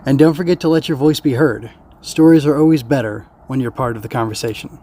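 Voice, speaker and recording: A man's voice: medium pitch, fairly quick, dark tone. A man podcast host giving a casual, unscripted solo review, thinking aloud and often interrupting himself as he rambles.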